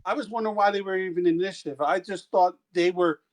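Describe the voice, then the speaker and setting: A man's voice: high-pitched, fairly even intonation, fast talker. A man playing a tabletop game, talking casually and restarting his sentences often. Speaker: player.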